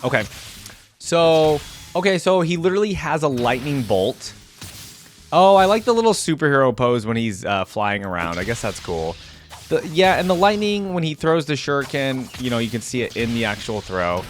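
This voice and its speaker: A man's voice: medium pitch, very animated, normal speed, faint music behind. A man hosting a reaction video, talking informally and thinking aloud in unscripted commentary. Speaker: YouTube reaction host.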